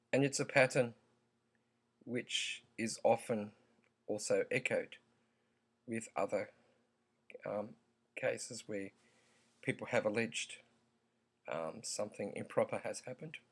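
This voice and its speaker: A croaky-voiced man talks straight to his viewers at an unhurried, deliberate pace. He pauses often and fills the gaps with ums. His tone stays earnest throughout. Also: mid-range voice. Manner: slow, halting, earnest, with many ums. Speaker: vlogger. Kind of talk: opinion vlog.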